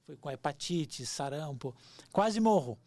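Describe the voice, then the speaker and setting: A man's voice: on the high side, animated, a little slow, a little mellow, no room sound. Guy in his 40s on a podcast, nostalgic, keeps stumbling n repeating words. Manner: hesitant, repeats words and restarts often; earnest and nostalgic. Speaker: podcast guest. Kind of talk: reflective storytelling.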